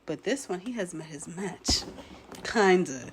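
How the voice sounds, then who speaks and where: Woman, hoarse, a bit nasal, low-ish voice, relaxed recap chat. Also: measured pace, a little hiss or hum. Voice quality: slightly nasal. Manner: chatty, laid-back, frequent throat clearing. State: hoarse, recovering from illness. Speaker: show commentator. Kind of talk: casual recap commentary.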